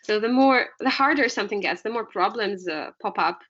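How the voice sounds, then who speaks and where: A woman's voice: mid-range voice, lively intonation, a little fast, a bit bright, dull audio. A woman student whose first language is Russian, answering hesitantly with uhs and likes.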